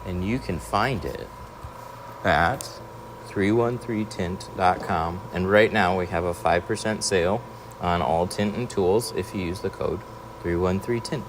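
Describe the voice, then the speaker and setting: Man, deep voice, relaxed livestream chat, answers questions as he works, noisy shop. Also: a little slow. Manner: relaxed, answers viewer questions while working. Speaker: window tint installer hosting a livestream. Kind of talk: casual livestream chat while working.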